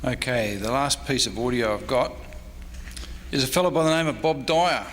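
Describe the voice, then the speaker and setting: A man's voice: medium pitch, moderate pace. A man presenting an informal history lecture at a convention. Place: convention talk indoors. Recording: convention room reverb, faint noise.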